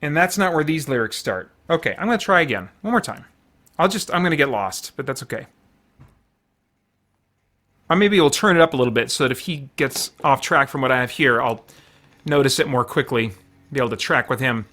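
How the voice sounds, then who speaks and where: A man's voice: mid-range voice, lively intonation, brisk, long pauses, bright, forceful tone. A man chatting casually as a livestream host, in a rambling, self-deprecating way.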